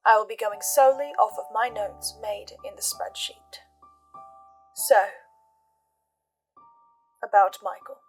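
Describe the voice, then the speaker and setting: A woman hosting a recap show, laying out her notes and theories in a deliberate, measured way with dramatic pauses. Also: mid-range voice, soft background music. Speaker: host of a podcast listener's reaction and theory show.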